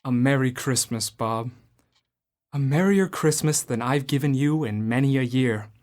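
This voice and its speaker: A man playing an old miser turned joyful and generous in an amateur radio drama, booming and theatrical. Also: unhurried, a little mellow, natural voice. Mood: jovial.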